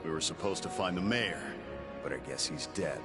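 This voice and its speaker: Casting: curt video game warrior, deep voice, serious and blunt, score playing behind. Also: male voice, lively intonation, normal speed, music in the background. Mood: blunt, impatient. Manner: terse, blunt. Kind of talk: video game cutscene acting.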